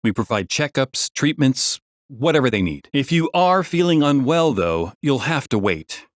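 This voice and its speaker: An actor playing a busy, courteous clinic attendant in a video game, polite and matter-of-fact. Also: male voice, medium pitch, sing-song, normal speed, no echo, clean studio sound, natural voice. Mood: polite, harried.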